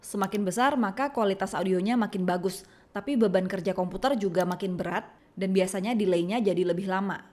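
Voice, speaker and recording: A woman presents a software tutorial as scripted narration. Her voice sits at an average pitch for a woman, and she explains things step by step in an instructional way. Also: a little fast.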